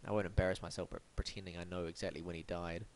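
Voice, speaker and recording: A man's voice: deep voice, level tone, racing, slight background noise. A man hosting a web design review, blocked up and sniffly from hay fever, with a wry, mock-formal, theatrical delivery. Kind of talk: scripted web review monologue.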